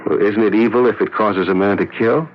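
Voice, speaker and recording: An actor playing a skeptical police lieutenant who questions and presses in a 1970s radio drama. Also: male voice, fairly low pitch, fairly even intonation, a little fast, natural voice. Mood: probing, skeptical. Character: skeptical, probing male police detective lieutenant. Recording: muffled noisy vintage radio broadcast.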